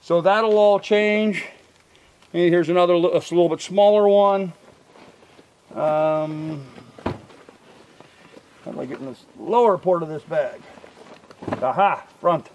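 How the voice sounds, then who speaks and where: A man's voice: high-pitched, takes it slowly, pauses often, darkish tone, highs cut off, slight background noise. An older man casually showing his fishing gear outdoors, rambling with lots of ums and uhs and correcting himself, picked up by a camera a few feet away. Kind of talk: casual show-and-tell. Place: outdoors by a truck in a field. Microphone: camera mic from a few feet.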